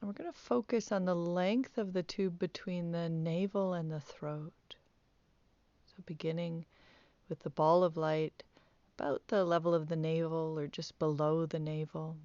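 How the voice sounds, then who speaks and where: A woman teaching guided meditation, speaking softly with calm, gentle instructions and long pauses. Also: low-pitched, no room sound, muffled recording.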